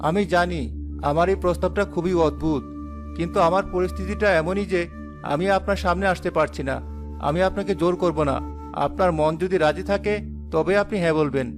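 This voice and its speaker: Unseen cartoon forest spirit, played by a man: fairly high male voice, solemn, protective, formally polite. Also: fairly quick, over music, close to an everyday voice. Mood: earnest, courteous. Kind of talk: animated fairy-tale dialogue.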